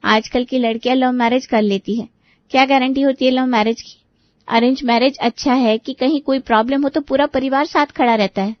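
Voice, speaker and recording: High-pitched meek young daughter played by a woman. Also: fairly even intonation, moderate pace, dry recording, dull audio, close to an everyday voice. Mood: earnest. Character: young obedient, self-blaming, naive daughter.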